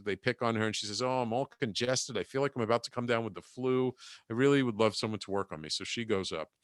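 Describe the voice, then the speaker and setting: A man as an interview guest, telling his story in an animated way and acting out what people said. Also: mid-range voice, clean tone, no room sound, clean studio sound. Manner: animated storyteller, acts out quoted dialogue.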